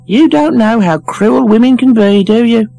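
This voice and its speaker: A man's voice: extremely high pitch, moderate pace, gravelly, dark tone, no room sound, soft background music, pitched above the performer's own voice. A man voicing a nagging mother who scolds and warns a grown son, in an audiobook. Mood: scolding. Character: nagging, warning mother of a timid adult son.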